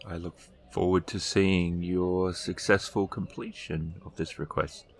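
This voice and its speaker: Tabletop game noblewoman, recently widowed, male performer: very low register, unhurried, formal and clipped delivery. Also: flat delivery. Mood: cool, formal. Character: formal, terse widowed noblewoman requesting her husband's swords.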